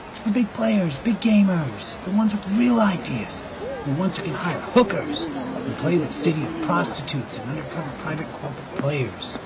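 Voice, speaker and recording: Slangy conspiracy ranter played by a man, rambling and agitated, mid pitch that jumps around, muffled under heavy noise. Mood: ranting. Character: ranting, crude, slangy man in a car arguing conspiracies. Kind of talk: scripted audio drama, casual dialogue. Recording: dull recording with loud background noise.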